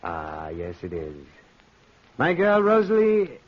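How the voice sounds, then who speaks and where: A sly aristocratic husband from vintage radio melodrama. His mid-pitched voice swoops expressively through pompous, sarcastic courtesies, domineering and suspicious. The recording is old and muffled. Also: wildly swooping pitch, slow. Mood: smug, veiled menace. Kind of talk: old-time radio drama acting.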